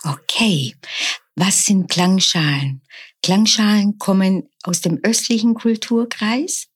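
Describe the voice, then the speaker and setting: A middle-aged woman, a nurse, explaining her practice in calm, measured interview answers. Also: mid-range voice, slightly rough. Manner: calm, measured, often slow and deliberate. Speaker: nurse and sound bowl practitioner.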